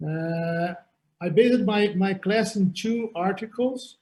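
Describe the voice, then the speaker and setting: A man from Brazil with a Brazilian Portuguese accent, giving an online surgical anatomy lecture over a video call in halting English, often repeating words. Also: high voice, darkish tone, slight background noise. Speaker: surgeon lecturer.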